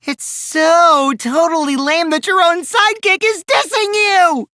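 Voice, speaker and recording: A man's voice: very high-pitched, measured pace, bright, forceful tone, dry recording, clean studio sound, heavily acted. An actor playing a mischievous teenage boy in an anime game dub, a gleeful liar who teases and taunts theatrically, shifting between singsong mockery and sly menace. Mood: needling.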